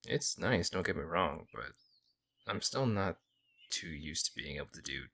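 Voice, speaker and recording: A man playing a friendly young werewolf, a lone wolf who talks casually and teases gently in an ASMR audio roleplay. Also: low voice, sing-song, normal speed, vocal fry, exaggerated voice. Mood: casual, musing. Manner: casual, hesitant musing, gently teasing. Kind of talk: ASMR audio roleplay, intimate conversational acting.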